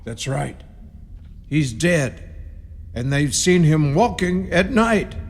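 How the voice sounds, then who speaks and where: A man's voice: on the high side, big pitch swings, takes it slowly, full character voice. A man playing an ominous rural caretaker in a radio horror play, giving grim, cryptic warnings in folksy country grammar. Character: ominous rustic caretaker, grimly warning intruders. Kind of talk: radio horror drama acting. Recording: long reverb with music behind.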